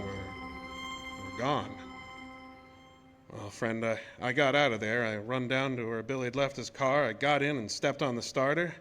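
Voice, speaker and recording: An actor playing a plain-spoken, shaken oil-rig worker who tells his tale in a folksy, halting way in a radio horror drama. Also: mid-range voice, fairly bright tone, natural voice. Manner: folksy, colloquial, halting storytelling.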